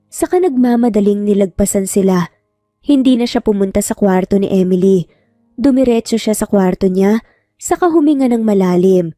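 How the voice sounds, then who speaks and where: A woman's voice: mid-range voice, moderate pace, mellow, no room sound, clean studio sound. A woman reading a scripted romantic drama as a storyteller. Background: music.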